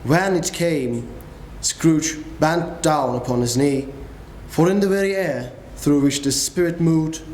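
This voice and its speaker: A man narrating a classic story with grave, measured delivery in a dramatic reading. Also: fairly high pitch, a little mellow. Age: young adult. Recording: echoey room, faint noise.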